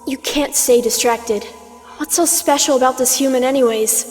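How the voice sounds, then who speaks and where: A woman voices an immortal aspect of Twilight with a high, theatrical voice. She lectures like a stern mentor, teasing and condescending, in a fantasy comic dub. Long reverb surrounds her, and music plays behind. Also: normal speed, a little mellow, lightly acted. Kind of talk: scripted fan comic dub acting.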